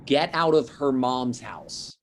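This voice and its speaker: A man's voice: medium pitch, lively intonation, takes it slowly, a little hiss or hum. A man playing a grumpy young cousin in his twenties in a comedy table read, exasperated and sardonic. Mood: irritated. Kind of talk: video-call table read of a comedy script. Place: video call from home.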